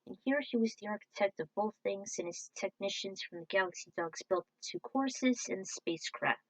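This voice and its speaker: Woman, medium pitch, informal scripted game commentary, narrator, dry recording. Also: animated, brisk, dry recording, low-quality recording. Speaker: narrator of own fan commentary video.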